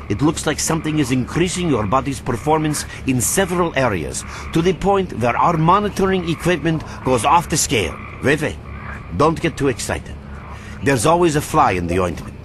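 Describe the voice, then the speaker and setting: An actor playing a thoughtful male scientist who explains things in a measured, scholarly way in a video game. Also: mid-range voice, background noise. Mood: wry, explaining. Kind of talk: scripted video game dialogue.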